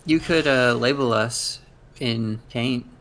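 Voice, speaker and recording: A man talks casually during a tabletop game. His voice is mid-pitched with a lot of gravelly fry. The microphone carries clear noise and clicks. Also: slow. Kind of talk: casual chat. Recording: noisy mic with clicks.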